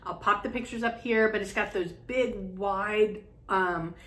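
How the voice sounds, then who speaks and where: A woman's voice: medium pitch, unhurried, a bit bright. Chatty woman rambling about her sewing. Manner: chatty, rambling, many ums and restarts. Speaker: sewing vlogger. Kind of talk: casual chat to camera. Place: home sewing room. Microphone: camera in front, medium close framing.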